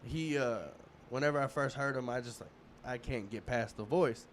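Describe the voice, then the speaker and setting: Relaxed male host, mid pitch. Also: normal speed, slight background noise. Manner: relaxed, rambling, many restarts and fillers. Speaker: podcast host. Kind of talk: casual interview chat.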